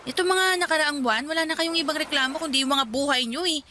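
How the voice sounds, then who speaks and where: A woman's voice: high-pitched, fast, pushed and bright, a little hiss or hum. An actress playing Sonia, a talkative and bossy young woman, in a radio drama. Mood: scheming. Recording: music bed under dialogue.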